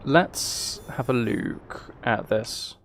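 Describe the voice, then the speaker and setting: A man's voice: medium pitch, very slow, fairly bright tone. A man giving casual live commentary as he streams a video game. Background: video game music.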